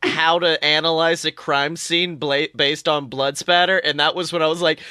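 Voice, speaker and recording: A young adult podcast co-host chatting casually about fandom in an animated, exaggerated and joking way. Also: mid-range voice, normal speed, clear, resonant tone, no room sound, clean studio sound. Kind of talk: casual fan podcast chat.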